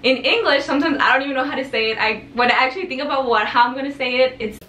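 A woman's voice: sits high, rapid-fire, sharp tone, slight background noise. A talkative teenage woman, chatting to camera in her bedroom. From El Paso, Texas, raised in Juarez, Mexico. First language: Spanish. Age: teens. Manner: bubbly, talkative, gestures a lot. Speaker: vlogger. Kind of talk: casual talk to camera. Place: bedroom with tapestry backdrop, indoors. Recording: echoey room, mic a bit far.

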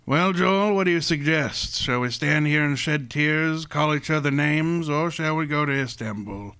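An actor playing a courtly, pompous criminal mastermind, genial but menacing, with florid formal speech in an old-time radio drama. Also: male voice, on the high side, normal speed, sharp tone, smooth. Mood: amused, resolute.

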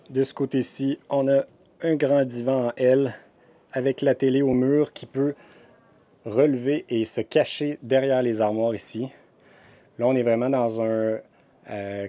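Mellow Québécois man touring campers. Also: medium pitch. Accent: Québécois French. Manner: chatty and descriptive, frequent hesitations. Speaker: RV vlogger touring a trade show. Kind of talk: casual walkthrough narration. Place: indoor RV show hall.

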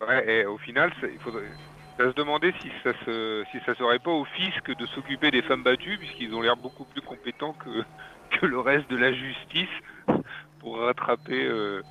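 A man calling into a radio show, giving his opinion in a measured way. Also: mid-range voice, pushed and bright. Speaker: caller. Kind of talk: call-in opinion. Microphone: internet call. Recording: dull call audio.

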